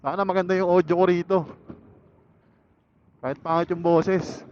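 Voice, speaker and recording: Higher-voiced Filipino man chatting on a motorcycle. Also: fairly even intonation, moderate pace, a little mellow. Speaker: motorcycle vlogger. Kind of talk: casual solo chat while riding. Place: outdoors, riding a motorcycle on a city road. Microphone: motorcycle helmet intercom mic. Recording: dull helmet intercom audio, faint road noise.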